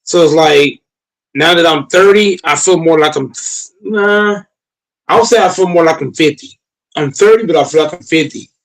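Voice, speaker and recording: A man's voice: on the high side, normal speed, poor audio. A man in his late twenties hosting a casual, crude chat, boastful and repetitive, often stammering as he restarts his sentences. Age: late 20s.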